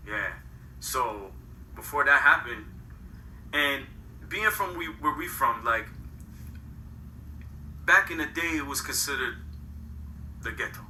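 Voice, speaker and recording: A man's voice: mid-range voice, expressive, slow, pauses often, bright, forceful tone, reverberant, recorded from a distance, a little hiss or hum, rough recording. A man in his thirties from Connecticut, telling his story in a rambling, casual way full of slang. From Connecticut, USA. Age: 30s. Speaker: guest. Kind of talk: casual interview.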